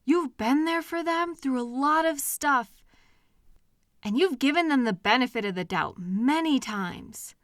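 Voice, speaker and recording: A woman playing a caring, protective partner in an intimate comfort audio roleplay, speaking gently and earnestly in a reassuring, conversational way with hesitant pauses. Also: high voice, animated, no echo, studio quality. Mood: gentle reassurance. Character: caring, protective partner consoling a hurting loved one.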